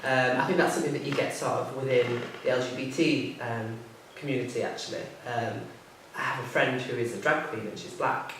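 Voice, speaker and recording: A man chairing a panel discussion, hesitant, with many pauses and restarts. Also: medium pitch, fairly even intonation, a bit bright, slight background noise. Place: theatre hall. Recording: echoey hall from far off.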